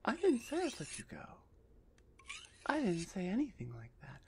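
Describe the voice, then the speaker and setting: A woman voicing a gruff female minotaur executioner in an ASMR roleplay, husky and often half-whispered, impatient and sardonic with her captive. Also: medium pitch, wildly swooping pitch, moderate pace, warm tone, a little hiss or hum. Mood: taunting.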